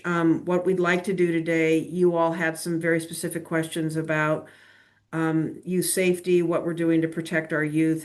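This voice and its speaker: Low, flat-voiced woman briefing a council. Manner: measured, many fillers and restarts. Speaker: agency administrator presenting to a council. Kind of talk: explaining at a public meeting. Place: meeting room, same room as a colleague. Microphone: laptop microphone.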